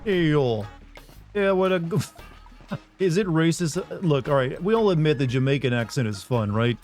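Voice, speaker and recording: A man's voice: a little high, animated, normal speed, a little mellow, faint music behind. A man streaming a game live, chatting casually in a rambling, joking way. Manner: rambling and joking, lots of fillers like "like". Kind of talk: casual live gameplay commentary.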